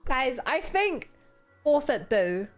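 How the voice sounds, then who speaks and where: A woman's voice: high voice, very animated, slow, soft background music. A woman from New Zealand on a gaming livestream, chatting to viewers and reading game text aloud. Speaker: game streamer.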